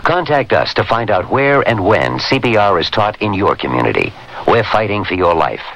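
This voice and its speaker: A man's voice: fairly low pitch, very animated, moderate pace, a bit bright, slight background noise, low-quality recording. A man reading a scripted public service announcement as a radio announcer.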